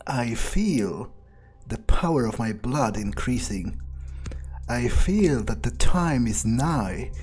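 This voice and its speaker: Guy doing a cold grandiose vampire plotting for a game, slow talker, faint music behind. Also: medium pitch. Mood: gloating, menacing. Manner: brooding, deliberate, grandiose, with trailing pauses. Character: power-hungry, menacing male vampire, musing coldly on revenge. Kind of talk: in-character game playthrough monologue.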